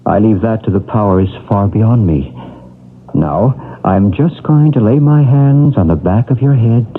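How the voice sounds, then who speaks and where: An actor playing a calm, gently persuasive psychic healer in a 1970s radio drama, measured and probing. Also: male voice, lowish voice, a little hiss or hum. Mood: soothing. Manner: measured, soothing, questioning. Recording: muffled, lo-fi old radio broadcast.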